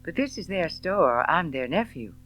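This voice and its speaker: A woman voicing a genial middle-aged male shopkeeper in an audiobook novel, effusive, chatty and full of flowery flattery. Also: lowish voice, moderate pace, faint music behind, poor audio. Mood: polite.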